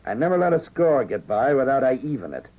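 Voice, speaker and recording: An actor playing a proud, vengeful hired gunslinger in a 1940s radio western. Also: male voice, mid-range voice, sing-song, a little fast, natural voice. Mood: menacing. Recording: tinny, phone-like 1940s radio recording.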